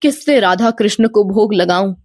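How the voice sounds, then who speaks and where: A woman voicing a humble, devout poor village father, gentle and respectful, in an animated moral tale. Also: medium pitch, normal speed, no room sound, clean studio sound, pitched below the performer's own voice, close to an everyday voice. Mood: downcast. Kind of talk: animated devotional storybook acting.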